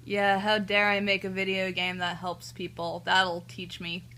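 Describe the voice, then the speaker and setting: A woman's voice: medium pitch, monotone, unhurried, pushed and bright, slight background noise. A sarcastic, amused woman talking back mockingly in a casual phone conversation, recorded in a small room. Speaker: call recipient.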